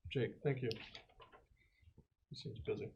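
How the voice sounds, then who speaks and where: A man's voice: measured pace, muffled recording, very noisy. A man playing a tabletop role-playing game, bantering casually in character with a teasing, swaggering manner.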